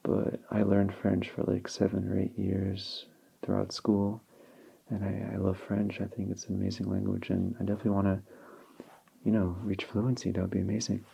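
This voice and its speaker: A young man whose first language is Polish, speaking softly and slowly as an ASMR creator answering viewer questions. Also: on the low side, creaky, breathy edge. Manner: slow and relaxed, with small restarts. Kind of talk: soft-spoken ASMR Q&A. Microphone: handheld field recorder.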